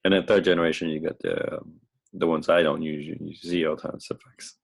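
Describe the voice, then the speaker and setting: A man who sounds sick, with a crackling, hoarse voice, giving an informal screen-share lecture in a halting, self-correcting way as host and instructor. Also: extremely low pitch, level tone. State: under the weather, sick.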